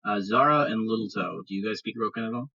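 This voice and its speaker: A man runs a tabletop fantasy game, narrating casually. His voice is husky with a forceful, sharp edge. The recording is slightly dull. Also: fairly low pitch, quick pace. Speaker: game master.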